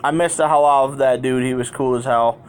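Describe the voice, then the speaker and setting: A man's voice: mid-range voice, steady tone, brisk, clean tone, rough recording. A man vlogging in a candid, colloquial way, rambling through personal stories as he talks straight to his viewers. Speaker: YouTube vlogger. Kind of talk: casual personal storytelling to viewers.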